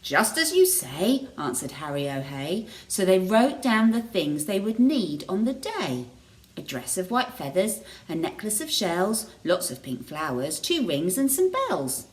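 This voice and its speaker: Slow, animated woman reading a story. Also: lowish voice. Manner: expressive, deliberate. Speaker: teacher reading a picture book to children. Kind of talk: storybook read-aloud with chatty asides.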